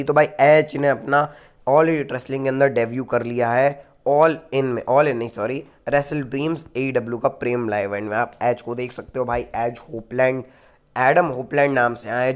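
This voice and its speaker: Man, hoarse voice, chatty casual commentary, close mic. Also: mid-range voice. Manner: chatty, says "bhai" often. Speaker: wrestling news channel host.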